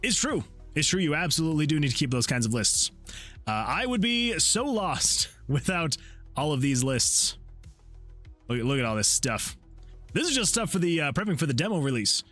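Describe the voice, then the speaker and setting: A man hosting a game development livestream, chatting casually with viewers and rambling excitably as he thinks out loud. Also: fairly high pitch, moderate pace. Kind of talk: casual chat with stream viewers while working. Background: background music.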